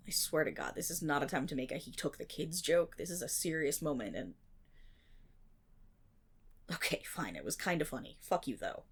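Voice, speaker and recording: A woman playing a nerdy, teasing best friend in an ASMR roleplay, rambling through myth with dramatic pauses and wry, animated asides. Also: deep voice, breathy edge, exaggerated voice. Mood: amused, teasing.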